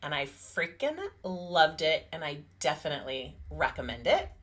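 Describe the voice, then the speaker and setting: A woman's voice: low voice, moderate pace, fairly bright tone. A woman hosting a casual book review podcast in a chatty, informal and agreeable way. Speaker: podcast host.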